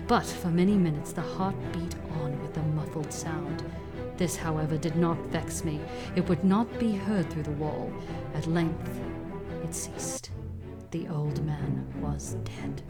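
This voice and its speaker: A woman's voice: on the low side, close to an everyday voice. A woman voicing a nervous, gleefully cunning mad murderer in a theatrical, emphatic gothic horror reading. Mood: cold, sly. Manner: theatrical, drawn-out, emphatic, dropping into whispers. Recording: loud music under the voice. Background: loud instrumental music.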